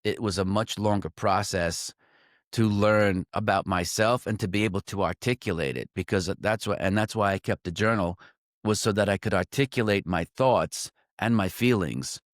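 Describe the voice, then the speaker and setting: A man's voice: on the low side, dry recording, studio quality. A man talking as a trading coach, reflecting aloud in a loose, conversational way, full of "like" and "um" and restarting his sentences as he goes. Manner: restarts and self-corrections mid-sentence. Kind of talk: reflective solo talk to camera.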